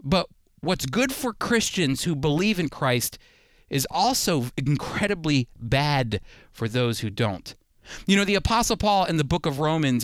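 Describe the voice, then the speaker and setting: A man's voice: fairly high pitch, a bit bright, dry recording. A man hosting a radio Bible program, preaching conversationally and earnestly with emphatic, repeated phrasing into a close studio mic. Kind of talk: conversational radio sermon with scripture reading.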